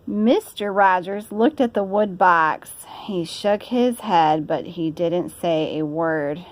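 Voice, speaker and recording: A woman's voice: fairly low pitch, slow pace. A woman reading a children's story aloud, playfully, with chatty asides. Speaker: storybook reader. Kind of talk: animated children's story narration.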